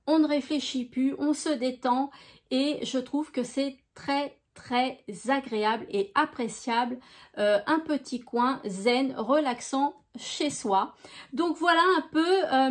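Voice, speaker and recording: A woman from France hosting her own channel, chatting gently through viewer questions with many small hesitations. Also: high voice, slow. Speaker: YouTube crafts channel host. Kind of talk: casual FAQ video answering viewer questions.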